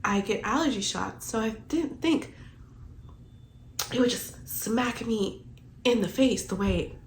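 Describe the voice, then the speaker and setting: Congested young woman with vocal fry. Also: on the high side, expressive, slow, slight background noise. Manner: chatty, heavy use of filler "like". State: congested, stuffy nose. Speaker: book vlogger. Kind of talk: casual rambling vlog chat.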